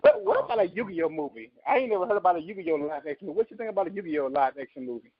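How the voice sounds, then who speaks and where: A man with a fairly high, very lively voice chats as a podcast co-host. His style is loose and informal. The audio is dry and a bit lo-fi. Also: racing, no echo. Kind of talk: casual chat.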